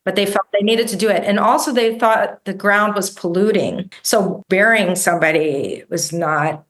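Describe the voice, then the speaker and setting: A woman's voice: mid-range voice, normal speed, a bit bright. A woman whose first language is Greek, a guest researcher explaining ideas in a thoughtful, conversational way with frequent self-corrections. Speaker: guest, book editor and researcher.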